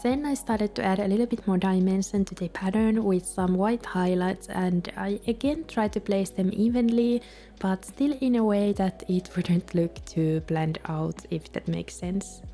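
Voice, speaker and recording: A woman with a Finnish accent, a native Finnish speaker, calmly narrating a voice-over for a journaling video in a gentle, soft-spoken way. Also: medium pitch. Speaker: bullet journal vlogger.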